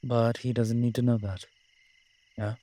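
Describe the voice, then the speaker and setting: A man playing a sly, worldly incubus demon in an audio roleplay, husky and sardonic, talking in an unhurried, conversational way. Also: mid-range voice, level tone, dark tone, natural voice. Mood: sly, teasing. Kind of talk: dramatic character roleplay acting.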